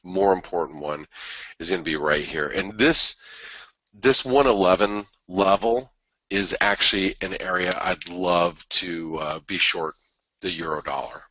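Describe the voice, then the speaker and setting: A man with a stuffy head cold and a raspy voice, hosting a trading webinar in a rambling, casual way with many restarts. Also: low-pitched, a little slow, pushed and bright, dry recording, dull audio. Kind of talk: casual market analysis talk.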